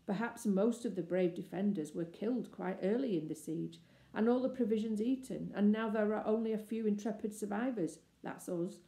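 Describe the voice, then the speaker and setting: A woman's voice: medium pitch, moderate pace, creaky, dark tone, natural voice. A woman voicing a clever, bold schoolboy in a storybook, confident and declamatory. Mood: dark, heroic. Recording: roomy, echoey indoor sound.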